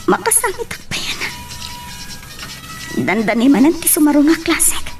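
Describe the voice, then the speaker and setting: A woman's voice: sits high, sing-song, normal speed, music in the background. A woman playing an anxious, love-struck schoolgirl in a radio drama, fretful and pleading, with an Ilocano accent. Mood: upset.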